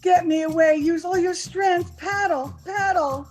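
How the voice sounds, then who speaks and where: Desperate woman accomplice acted by a woman, vintage radio horror: very high voice, drawn-out melodramatic pleading. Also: a little mellow, faint music behind. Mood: terrified. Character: frightened, self-excusing woman accomplice, desperate to escape. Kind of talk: old-time radio horror acting.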